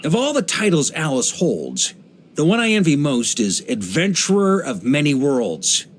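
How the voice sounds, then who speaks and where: A hearty middle-aged knight commander for a game, wry and easygoing, pitch moves around a lot, bit of noise behind. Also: male voice. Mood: wistful, musing. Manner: relaxed, wry, conversational, with chuckles and musing pauses. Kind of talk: video game character voice lines.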